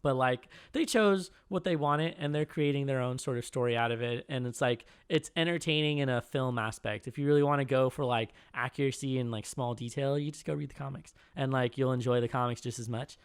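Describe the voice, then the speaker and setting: A man's voice: mid-range voice, a little fast, few pauses, clean tone, no echo, studio quality. A man in his late twenties co-hosting a podcast, chatting casually and rambling with lots of "like" as he retells stories. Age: 20s. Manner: rambling, heavy on "like", animated retellings. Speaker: podcast co-host. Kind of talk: casual chat.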